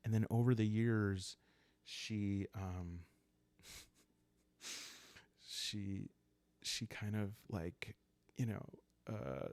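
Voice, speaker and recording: A man hosting a solo roleplay game playthrough, calm and soft-spoken, improvising the story and thinking aloud as he goes. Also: lowish voice, drawn-out words, no room sound. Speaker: host playing a solo journaling roleplay game.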